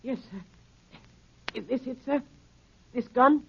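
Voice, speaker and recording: A high, boyish voice comes through a noisy, muffled vintage radio drama. It is a plucky young servant boy played by a male actor, eager and deferential with a cheeky streak. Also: takes it slowly, gravelly, heavily acted. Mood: eager, dutiful. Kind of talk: 1930s radio drama acting.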